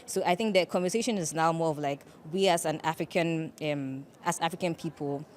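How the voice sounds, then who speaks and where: Woman, legal-background panelist, very low voice, earnest, emphatic. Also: fairly quick. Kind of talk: panel discussion.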